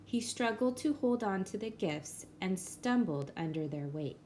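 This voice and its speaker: A woman reading a children's picture book aloud in a gentle storybook manner. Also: medium pitch, expressive, measured pace, slight background noise.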